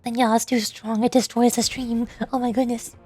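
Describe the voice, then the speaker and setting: A chatty, playful woman talks to her viewers while she plays a game, in a high, bubbly voice. She speaks into a desk microphone, and faint music plays underneath. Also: little pitch movement, moderate pace, darkish tone, slightly rough. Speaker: VTuber streamer. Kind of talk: casual live-stream gameplay chat.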